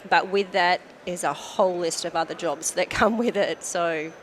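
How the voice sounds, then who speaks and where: A woman's voice: fairly low pitch, moderate pace, a little hiss or hum. A woman from Australia with an Australian accent, chatting as a guest on a podcast interview, talkative with frequent fillers. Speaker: guest, company manager.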